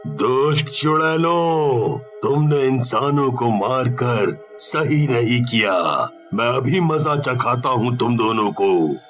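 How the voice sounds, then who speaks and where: A man's voice: medium pitch, expressive, slow pace, dull audio, faint music behind, voice pushed down. A man voicing a stern, solemn old holy man who commands with anger in a horror storybook tale.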